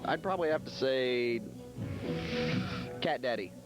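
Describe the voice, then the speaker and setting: A man's voice: mid-range voice, animated, unhurried, low-quality recording. A man, a band's drummer, answering interview questions in a modest, easygoing way. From Corpus Christi, Texas. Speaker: band drummer. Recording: loud bar music behind.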